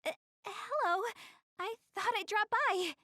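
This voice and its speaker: An actress voicing a proud, easily flustered young anime goddess in a video game, haughty yet earnest in manner. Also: female voice, very high-pitched, takes it slowly, sharp tone, no room sound, studio quality, full character voice. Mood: flustered, indignant. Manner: haughty, stammers when flustered, explains things earnestly. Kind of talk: English anime game voice acting.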